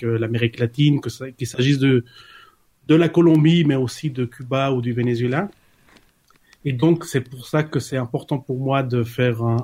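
A man's voice: medium pitch, a little mellow. Hesitant man, many restarts. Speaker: guest, collective member. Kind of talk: interview answers.

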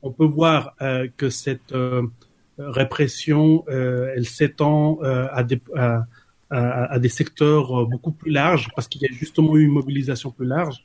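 A man's voice: medium pitch, fairly even intonation, a little slow, a little mellow. Hesitant man, many restarts. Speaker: guest, collective member. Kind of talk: interview answers.

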